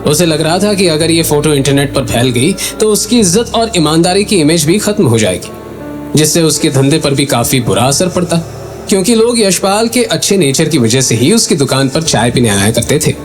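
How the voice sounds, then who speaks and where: Animated male story narrator. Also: on the high side, moderate pace, faint music behind. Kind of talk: dramatic scripted story narration.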